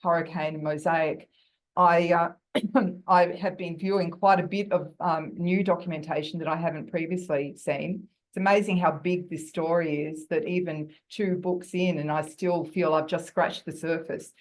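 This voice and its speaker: A woman with a croaky voice giving an academic talk over a video call, thoughtful and full of fillers and restarts. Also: on the low side, fairly even intonation, normal speed, low-quality recording. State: croaky from bronchitis. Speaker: guest lecturer.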